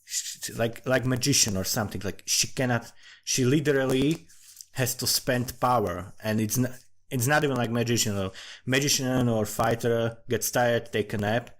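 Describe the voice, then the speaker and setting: A man's voice: mid-range voice, moderate pace. A man with a Central European accent giving a casual, rambling, sweary monologue that drifts from one thought to another. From Central Europe, small country. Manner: informal, sweary, digressive. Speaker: amateur fantasy writer recording commentary.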